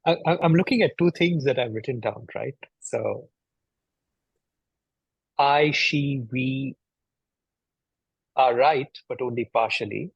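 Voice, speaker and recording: A man's voice: medium pitch, slow, vocal fry, pauses often, breathy edge, no room sound, lo-fi sound. A man co-hosting a conversation, reflecting hesitantly and often repeating words as he thinks. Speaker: podcast co-host.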